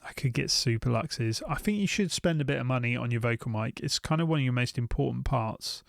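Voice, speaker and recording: A man's voice: mid-range voice, a little fast, gravelly, no room sound, clean studio sound. A British man giving casual, unscripted commentary as a gear reviewer, thinking aloud and often repeating his phrases.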